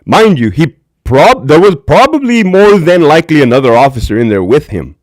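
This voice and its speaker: A man with a deep voice hosting a candid livestream on a desk mic. His pitch swings widely as he talks, and the sound is dry and close. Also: sing-song, normal speed, dry recording. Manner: candid, profane, talks to chat viewers. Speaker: livestream host, former prison officer. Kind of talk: casual livestream commentary.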